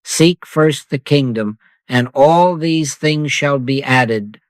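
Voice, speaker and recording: Soft-spoken man guiding a prayer for sleep. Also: mid-range voice, no room sound. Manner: soft, slow and unhurried, with long pauses. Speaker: meditation guide.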